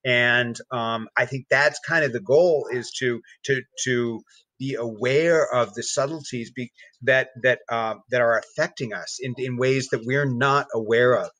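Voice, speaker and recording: Male guest, mid-pitched, reflective and earnest, halting phrasing, dry sound. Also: fairly bright tone, no room sound. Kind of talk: reflective conversational talk.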